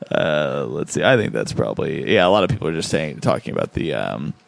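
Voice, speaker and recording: A man's voice: very deep voice, huge pitch swings, moderate pace, vocal fry, no room sound. A man hosting a podcast, reading out listener comments in a laid-back, chuckling way. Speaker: podcast host.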